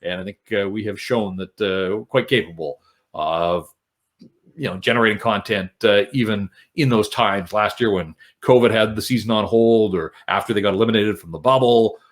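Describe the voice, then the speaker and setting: A man's voice: lowish voice, normal speed. A middle-aged Canadian man with an articulate, explanatory way of talking, speaking as a sports broadcaster in a conversational interview. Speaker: sports radio broadcaster and podcast co-host, interview guest. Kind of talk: conversational interview, reflective storytelling.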